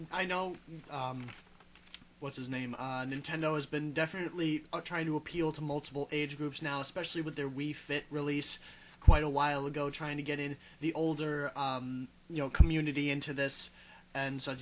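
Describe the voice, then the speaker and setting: A man's voice: on the high side, fairly bright tone, a little hiss or hum, low-quality recording. A young man on a gaming panel, speaking hesitantly and stopping often to search for words. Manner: hesitant, many ums and restarts. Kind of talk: casual gaming discussion.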